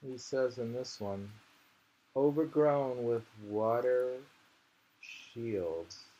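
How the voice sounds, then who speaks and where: Man, gravelly creaky voice, unhurried pace, halting poetry reading with musing asides, noisy amateur recording. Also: medium pitch, a little mellow. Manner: musing, halting, pauses often. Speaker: poetry reader and commentator.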